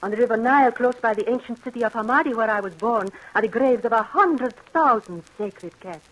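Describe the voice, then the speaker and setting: An actress playing a vain, jealous, possessive Egyptian wife obsessed with cats, speaking imperiously and theatrically in an old radio thriller. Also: female voice, a little high, brisk, fairly bright tone, rough recording. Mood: doting, reverent.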